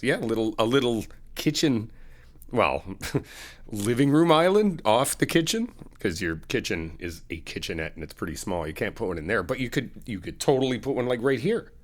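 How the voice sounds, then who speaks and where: A fairly low-voiced man talks to the listener like a close friend on moving day. His pitch swings up and down a lot as he jokes and laughs. The talk is relaxed, teasing and upbeat. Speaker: ASMR roleplay creator playing a best friend. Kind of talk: casual friendly roleplay chat to the listener.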